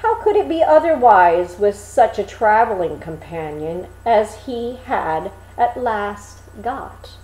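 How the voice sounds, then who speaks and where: A woman reading a fairy tale aloud as an expressive storyteller, with an echoey room sound. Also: mid-range voice, slow, hardly any pauses. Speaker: storyteller, reader.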